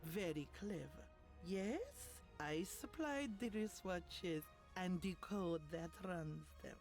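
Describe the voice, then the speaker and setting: A woman's voice: on the low side, animated, slow, darkish tone, faint music behind. A woman playing a clever, self-possessed scientist in a video game, composed and knowing as she spars with a villain.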